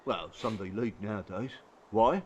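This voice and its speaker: An actor playing a blunt, colloquial, reassuring working-class footballer in an audio drama. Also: male voice, fairly low pitch, normal speed, highs cut off, a little hiss or hum, lightly acted. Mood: blunt, rueful. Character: blunt, supportive working-class footballer, male abuse survivor.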